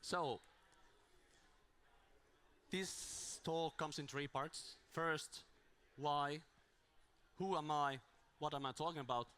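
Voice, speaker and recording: A man's voice: a little high, steady tone, slow pace, pauses often, sharp tone. A man with as his giving an informal, self-deprecating conference talk on a handheld mic in a small room, his voice strained from talking too much. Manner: self-deprecating, rambling with restarts. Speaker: conference speaker.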